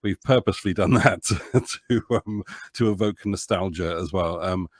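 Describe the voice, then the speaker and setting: A man's voice: deep voice, moderate pace, dry recording, studio quality. A man chatting casually as an interview guest, hesitant and rambling, often interrupting himself. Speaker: podcast guest, author and producer.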